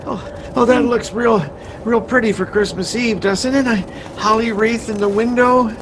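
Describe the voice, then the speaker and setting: A harried, well-meaning middle-aged dad in a radio sitcom. His voice is high for a man and stumbles over its words, with clear noise behind it. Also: steady tone, normal speed. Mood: cheerful. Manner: stammering, harried. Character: flustered, well-meaning family father.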